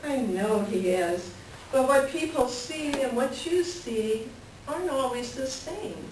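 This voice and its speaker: A woman's voice: high voice, animated, slow pace. A woman playing a lonely, frustrated farm wife in a play reading, plain-spoken and wistful. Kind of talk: staged play reading, conversational dialogue. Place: library reader's theater reading. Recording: echoey room from far off, faint noise.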